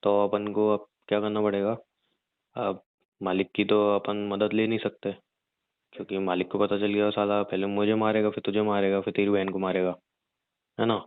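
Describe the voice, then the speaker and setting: A man playing a rough young gangster husband in an audio drama, crude and teasing yet protective, talking in slang. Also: lowish voice, completely flat delivery, normal speed, dry recording, close to an everyday voice. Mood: scheming, explaining. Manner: slangy, crude, mild swearing. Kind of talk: audio roleplay drama, conversational. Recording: narrow phone-like dry sound.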